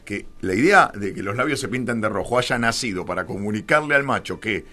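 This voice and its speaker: A man's voice: lowish voice, lively intonation, fairly quick, bright, forceful tone. A middle-aged man with a Rioplatense Argentine accent, explaining his views as a radio interview guest in an opinionated, irreverent, emphatic way.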